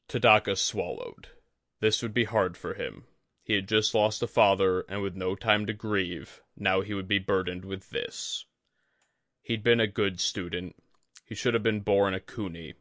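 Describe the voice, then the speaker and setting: A man reading a scripted fantasy story as an audiobook narrator, with dramatic, measured phrasing. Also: on the low side, fairly bright tone, no echo, clean studio sound. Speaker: audiobook reader.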